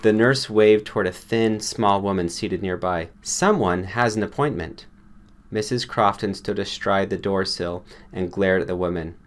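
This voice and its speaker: A man's voice: deep voice, fairly even intonation, moderate pace, few pauses. A man reading a short story aloud as an audiobook narrator, in a scripted storytelling style.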